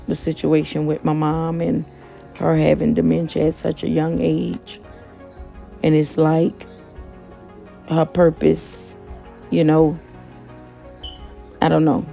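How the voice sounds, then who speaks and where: A woman in a casual vlog, talking about motivation in an unhurried monotone. Her voice is deeply gravelly and mellow. Soft music plays faintly behind her. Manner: monotone, self-described; conversational, many fillers and restarts. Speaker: motivational vlogger and gig worker.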